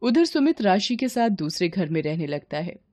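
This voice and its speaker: A woman's voice: lowish voice, very animated, normal speed, darkish tone, dry recording, studio quality. A woman narrating a scripted moral story as its storyteller.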